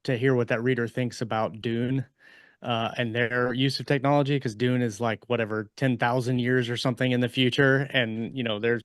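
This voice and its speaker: A man's voice: medium pitch, steady tone, dry recording. Agreeable man, close and dry sound. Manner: agreeable, halting. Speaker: podcast panelist. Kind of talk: casual book discussion.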